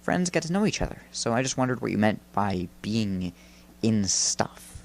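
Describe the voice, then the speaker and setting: A man voicing a friendly, earnest boy spirit in a story, soft-hearted, hesitant and patient. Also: lowish voice, sing-song, normal speed, gravelly. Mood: gentle, hopeful.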